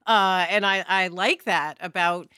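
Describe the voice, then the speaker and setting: A woman chatting casually as a podcast panelist, candid, playful and expressive. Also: on the low side, measured pace, smooth, dry recording, clean studio sound. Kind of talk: casual chat.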